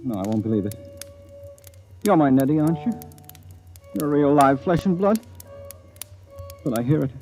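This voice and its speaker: An American man playing an affectionate, weary, suspicious husband in a theatrical 1950s radio drama style, with halting delivery. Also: a little high, animated, dark tone. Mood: alarmed, disbelieving. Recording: vintage 1950s radio recording with music.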